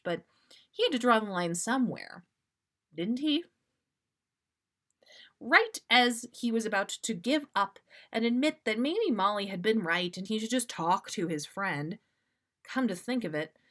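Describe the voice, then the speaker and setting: A woman's voice: mid-range voice, a bit bright. A woman reading a story aloud expressively, as a podcast host would.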